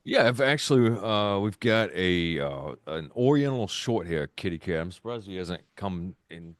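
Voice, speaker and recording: A man's voice: low voice, lively intonation, normal speed, dry recording, studio quality. A middle-aged man chatting casually as an interview guest, laid-back and joking. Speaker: guest, band vocalist. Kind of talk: casual chat. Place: indoor room on a video call. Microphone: boom-arm microphone.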